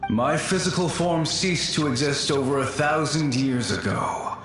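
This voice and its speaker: A man voicing the melancholy, courteous ghost of an ancient fallen warrior in a video game, speaking formally and with measured care. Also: a little high, lightly acted. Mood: solemn, wistful. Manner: formal, measured, sighing, old-fashioned phrasing. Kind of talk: scripted game voice acting. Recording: echoing reverb with faint music behind.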